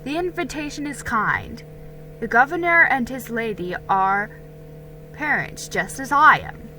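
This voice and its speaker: A woman voicing a kindly, measured colonial father in a dramatic storybook reading, gentle and reassuring. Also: a little high, lively intonation, faint music behind, poor audio.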